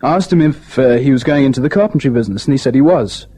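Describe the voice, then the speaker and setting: Mellow, husky shop salesman, acted by a man. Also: mid-range voice, expressive, quick pace, lightly acted. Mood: reminiscing. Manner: hesitant, anecdotal. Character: talkative tool-shop salesman, former tradesman. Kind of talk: old-time radio drama acting.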